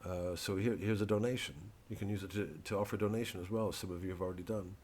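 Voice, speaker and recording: A man's voice: deep voice, fairly even intonation, racing, a little mellow, a little hiss or hum. A man giving a rambling, casual solo monologue as a comedian plugging his work, irreverent, digressive and profane. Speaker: comedian promoting his show and shop.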